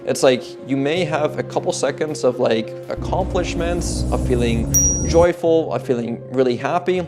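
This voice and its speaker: A young man in his twenties talks casually and earnestly about goals, his sentences loose and padded with "like" and "kinda". His voice sits at an ordinary middle pitch for a man. Clear guitar music plays behind him throughout. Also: moderate pace. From Netherlands. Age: 20s. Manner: rambling, heavy use of "like" and "kinda". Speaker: fitness coach. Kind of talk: casual motivational talk.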